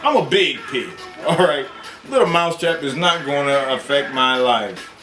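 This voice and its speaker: A big, shrugging farm animal, as a man puts him on, drawing out his words slowly and brushing off the worry in easy slang. A roomy sound, with music under him. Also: a little high, animated. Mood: dismissive. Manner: slow, dismissive, casual slang.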